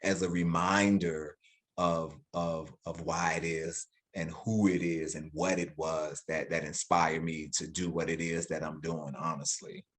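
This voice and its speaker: A man's voice: very deep voice, measured pace. A man in his forties, an educator, speaking reflectively in an interview and often repeating words as he thinks. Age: 40s. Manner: reflective, animated when quoting, repeats words. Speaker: guest, educator. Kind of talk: interview conversation.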